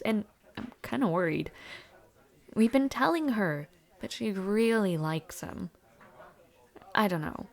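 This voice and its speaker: A woman's voice: medium pitch, vocal fry. A young woman playing a friendly university student chatting informally with her dormmate in an audio roleplay, gossipy and sometimes hesitant. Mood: concerned. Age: young adult. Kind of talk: casual conversational audio roleplay.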